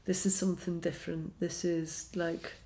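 A woman hosts a podcast in an eager, chatty way, laughing easily as she talks. Her voice sits a little low for a woman. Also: flat delivery, moderate pace, dark tone, low-quality recording. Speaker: podcast host. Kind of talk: casual podcast chat and interview.

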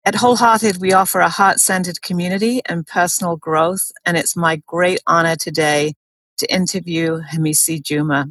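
Low-voiced woman hosting an earnest interview. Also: fairly even intonation, normal speed, dry recording. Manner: earnest, gently probing. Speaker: host. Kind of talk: interview, warm introduction.

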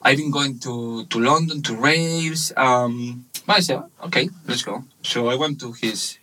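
A man in his thirties from Spain with a Spanish accent, speaking English as a second language, telling his story haltingly in a casual interview with many fillers. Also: medium pitch, pushed and bright, slight background noise, rough recording. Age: 30s. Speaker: guest, webshop manager.

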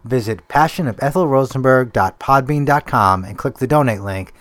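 Man producer reading outro briskly. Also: mid-range voice, fairly bright tone, no room sound. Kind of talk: podcast outro.

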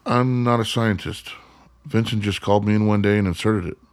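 A man's voice: fairly low pitch, steady tone, fairly quick, no room sound, natural voice. Male actor as a skeptical, argumentative grown son. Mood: evasive. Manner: blunt, argumentative, evasive. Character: tense, skeptical grown son sparring with his father. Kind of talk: radio play acting.

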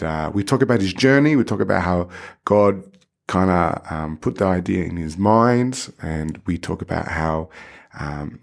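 A man hosting a podcast, relaxed and conversational, with many fillers as he asks questions. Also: deep voice, gravelly. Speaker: podcast host. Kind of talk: casual interview hosting.

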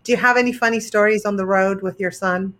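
A woman's voice: medium pitch, steady tone, fast talker, fairly bright tone, a little hiss or hum. Woman interviewer, supportive and encouraging. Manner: supportive, short affirmations. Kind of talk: casual interview.

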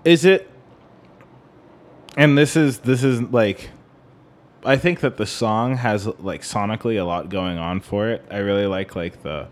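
A man co-hosting a podcast, talking casually about music in a halting way as he searches for words. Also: lowish voice. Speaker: podcast co-host. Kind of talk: casual music discussion with some theory.

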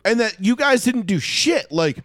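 A man's voice: high voice, expressive, moderate pace, a bit bright, no room sound, clean studio sound. A man in his thirties with an American accent chatting about sports and telling stories in a profane, opinionated, emphatic way. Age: 30s. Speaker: sports talk show host. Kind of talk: casual sports chat and storytelling.

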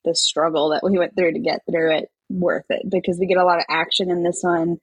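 A woman co-hosting a podcast in relaxed, informal conversation. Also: fairly low pitch, fairly quick, slightly rough, no room sound, muffled recording. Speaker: podcast co-host. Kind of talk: casual chat.

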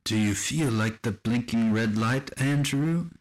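Man playing a gravelly, slow, controlling villain. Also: medium pitch, natural voice. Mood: commanding. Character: controlling, menacing mind-manipulating master named Alan. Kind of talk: radio drama acting.

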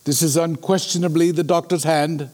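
A man playing a sober, sceptical Victorian gentleman in an audio drama, with a measured, formal, questioning manner. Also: on the high side, steady tone, close to an everyday voice. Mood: sceptical, probing. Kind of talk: dramatic audio play acting.

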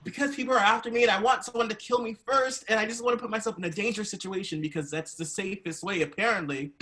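Apologetic, hapless informant, played by a performer; middle-pitched, for improv comedy. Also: steady tone, fast talker, a bit bright, lightly acted. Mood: pleading.

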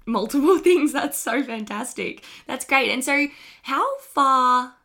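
An Australian woman hosting a health podcast, enthusiastic and gushing, her voice a bit croaky from an early start. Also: sits high, animated, takes it slowly, pushed and bright. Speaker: podcast host, health teacher. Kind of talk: upbeat podcast interview.

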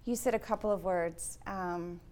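Woman host, relaxed and chatty, asks reflective questions. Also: on the low side, moderate pace. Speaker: interview host. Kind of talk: casual interview conversation.

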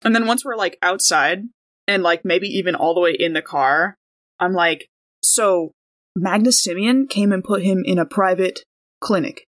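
A woman's voice: lowish voice, moderate pace, fairly bright tone. A woman playing in a tabletop roleplaying podcast, talking casually and improvising in a chatty, rambling way. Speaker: player on a tabletop game podcast. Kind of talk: casual in-game chat, improvised.

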